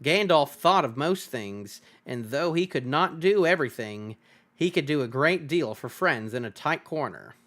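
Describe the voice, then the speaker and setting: A man's voice: a little high, expressive, moderate pace, a bit bright. A man reading a fantasy novel aloud as a streamer, in dramatic storybook narration.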